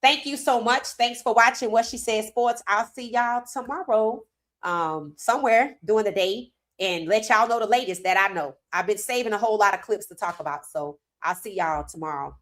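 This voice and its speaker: A woman hosting a sports commentary livestream, hoarse from talking, animated and emphatic with casual slang. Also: medium pitch, normal speed. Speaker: host.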